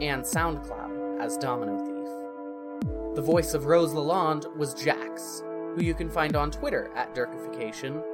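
A woman with a deep voice reads scripted podcast credits as the announcer. Loud music plays along behind her.

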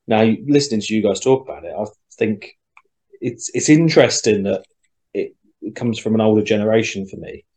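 A young man with a fairly low voice, chatting in a relaxed group discussion. He thinks out loud and drops in little quoted asides as he goes. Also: fairly quick. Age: young adult. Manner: reflective, talks around his point with quoted asides. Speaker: podcast co-host.

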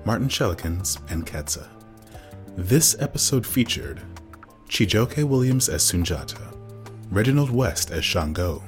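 A man reading scripted end credits as an announcer. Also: deep voice.

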